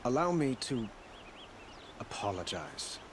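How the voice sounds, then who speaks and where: A man's voice: medium pitch, very animated, takes it slowly, slight background noise. An actor playing a courteous, dutiful king in a video game, speaking formally and earnestly. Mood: contrite. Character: courteous, regal young king, sincere and dutiful. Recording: outdoor game ambience behind.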